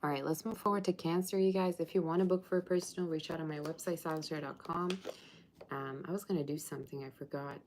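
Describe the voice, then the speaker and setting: A woman's voice: low voice, steady tone, quick pace, background noise. A woman giving a livestream tarot reading, chatty and teasing, reading out viewer comments. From Russia. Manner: chatty, teasing, sings snatches of old songs. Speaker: tarot reader.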